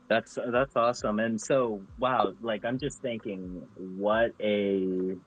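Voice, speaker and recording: A man's voice: lowish voice, fairly even intonation, takes it slowly, lo-fi sound. Hesitant man hosting an online show. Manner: hesitant, many fillers and restarts. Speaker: host. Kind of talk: casual interview hosting.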